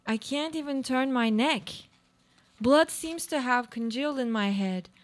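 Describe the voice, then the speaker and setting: A woman's voice: high voice, a little slow. A woman playing an anguished, obsessive housebound woman in a live radio play, with a theatrical, brooding delivery. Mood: bleak, obsessive.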